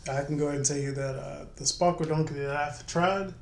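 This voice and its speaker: A man's voice: a little high, steady tone, a little fast, vocal fry. A man chatting casually as co-host of a drinks review show. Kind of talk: casual chat. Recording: echoey room with some background noise.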